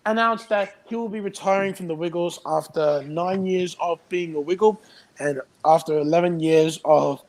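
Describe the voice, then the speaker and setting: A man speaking haltingly as a panel member in a casual online group chat. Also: fairly high pitch, a little mellow, low-quality recording.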